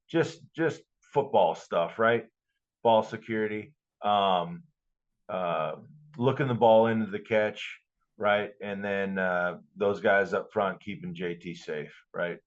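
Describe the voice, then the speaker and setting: Male football podcast co-host, deep, halting and blunt, on a slightly dull lo-fi mic. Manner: blunt, halting, repeats himself. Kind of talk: casual sports chat.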